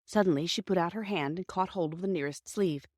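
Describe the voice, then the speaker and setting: A woman's voice: on the low side, a little fast, a little mellow, no room sound, clean studio sound. A woman narrating a children's story from a script as an audiobook reader.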